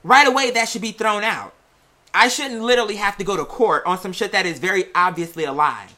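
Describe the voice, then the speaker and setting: A podcast host chatting casually and sassily to listeners, with theatrical, drawn-out emphasis on key words. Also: medium pitch, sharp tone, faint music behind. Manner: sassy, chatty, addresses listeners as "girl" and "y'all". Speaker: show host and music artist. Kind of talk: casual conversational monologue to listeners.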